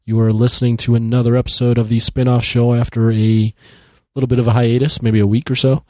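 A man in his thirties hosting a podcast in casual chat, his voice raspy from losing it, laughing often and restarting his sentences. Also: mid-range voice, little pitch movement, fast, dark tone, dry recording. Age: 30s. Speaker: podcast host. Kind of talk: casual conversation.

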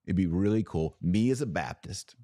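A man with a very low-pitched voice gives conversational opinion commentary as a podcast host. He is emphatic, leaning on repetition and rhetorical questions with a wry streak. The recording is dry, with hardly any room sound. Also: expressive, normal speed, warm tone, no echo. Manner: emphatic, repeats words and asks rhetorical questions.

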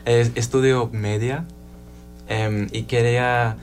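Male college student, native English speaker, slow flat halting Spanish, faint guitar underneath. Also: medium pitch. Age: young adult. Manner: halting learner Spanish with many "em"s. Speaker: student actor guest.

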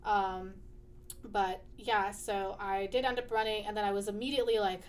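A woman's voice: mid-range voice, little pitch movement, normal speed, a little hiss or hum. A young adult woman talking as a student guest in a casual interview, chatty and full of fillers, laughing easily.

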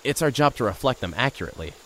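A man's voice: mid-range voice, very animated, very fast, fairly bright tone, a little hiss or hum. A man playing a young reporter who narrates an audio drama in a wry, conversational way.

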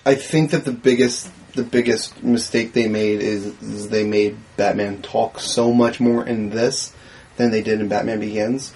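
A man's voice: lowish voice, fairly even intonation, measured pace, darkish tone, husky. A man chatting casually as a panelist in a group film discussion, speaking in an opinionated, emphatic way and swearing freely. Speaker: panelist on a movie discussion show. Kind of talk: casual group chat about films.

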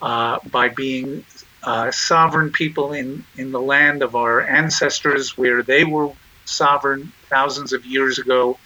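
A man speaking as a guest in an interview, answering in a measured, deliberate way with frequent pauses and restarts. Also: medium pitch, fairly even intonation, sharp tone, poor audio. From Israel. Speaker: guest, nonprofit chairman.

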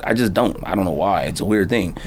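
A man's voice: deep voice, fast talker, slight background noise. A middle-aged American man hosting a podcast, chatting casually in slangy, rambling anecdotes. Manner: slangy, animated storytelling with frequent "man" and "fam". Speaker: podcast host. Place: indoor podcast studio.

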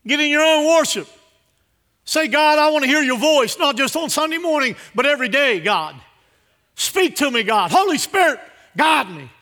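A male preacher with a high, forceful voice delivers a sermon to his congregation. He leans on repeated phrases for emphasis. His delivery moves between quieter asides and loud, urgent declarations.

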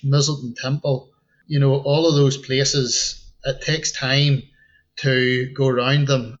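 A man's voice: medium pitch, lo-fi sound. A man from Northern Ireland with an Ulster Scots tinge to his accent, chatting easily as a podcast guest. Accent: Northern Irish, Ulster Scots tinge. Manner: easygoing, chuckles often. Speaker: podcast guest, tour guide. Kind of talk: casual interview chat.

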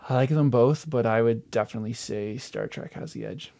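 Casual man with a creaky, gravelly voice. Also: mid-range voice, fairly quick, dark tone. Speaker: podcast guest, entrepreneur. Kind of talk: casual chat, answering quick-fire questions.

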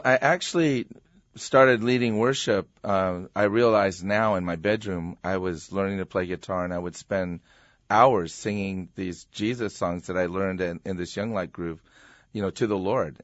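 A middle-aged American pastor sharing his story in a relaxed, chuckling conversational way. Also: deep voice, moderate pace, no echo. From USA. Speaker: pastor, interview guest. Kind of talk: personal storytelling. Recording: muffled, dry radio studio sound.

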